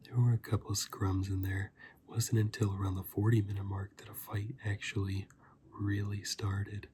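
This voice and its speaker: A man giving a softly spoken, often whispered ASMR review in a casual, hushed, breathy voice, repeating phrases for emphasis. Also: lowish voice, completely flat delivery, normal speed, background noise. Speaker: video host and reviewer.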